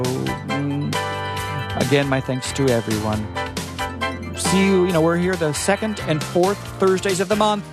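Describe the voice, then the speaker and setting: A man telling a comic story and hosting a show, with playful, exaggerated delivery and offhand asides. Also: on the high side. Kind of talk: live comic story reading with sign-off.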